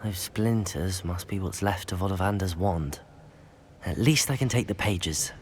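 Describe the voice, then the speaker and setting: A man's voice: low-pitched, unhurried, a little hiss or hum. A young man playing a curious, brave teenage wizard in a video game, musing aloud to himself. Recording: background music under the voice.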